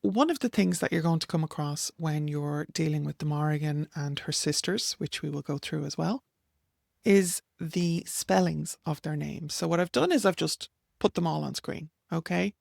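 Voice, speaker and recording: A woman's voice: sits low, moderate pace, gravelly, darkish tone, no room sound, clean studio sound. A middle-aged Irish woman with an Irish accent, plainly and firmly explaining name pronunciations over slides. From Ireland. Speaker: author and teacher.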